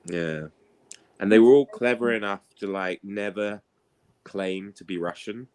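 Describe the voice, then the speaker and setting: A man's voice: on the low side, measured pace, darkish tone, clean tone. A man in a casual chat, telling anecdotes in a rambling, conversational way. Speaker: participant in an online book discussion.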